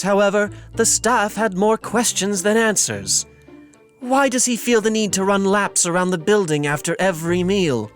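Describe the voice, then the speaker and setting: An actor playing a wry, arch narrator, reading scripted narration for an audio drama. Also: male voice, sits high.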